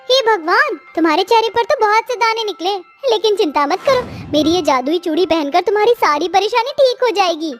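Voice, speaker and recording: A woman voicing a kind, emotional young mother who sells bangles, in an earnest, expressive storybook style. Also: very high-pitched, fairly quick, hardly any pauses, soft background music. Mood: concerned.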